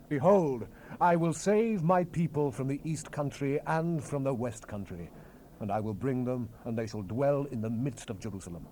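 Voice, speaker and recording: Through light cassette hiss comes a man performing a solemn Hebrew prophet in a scripture drama. His tone is soft-edged and dark, and his pitch rises and falls widely as he declaims with commanding, emphatic phrasing. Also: close to an everyday voice. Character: solemn, commanding male Hebrew prophet relaying God's word. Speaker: scripture narrator. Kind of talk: dramatized Bible reading. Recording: hissy unprocessed cassette tape rip.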